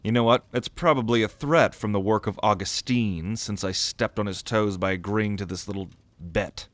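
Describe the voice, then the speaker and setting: A man's voice: lowish voice, normal speed, a bit bright, no echo, natural voice. A man playing a cocky, sardonic young prince at a mage academy in an audio roleplay, with a swaggering, theatrical manner. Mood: irritated. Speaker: ASMR roleplay voice actor.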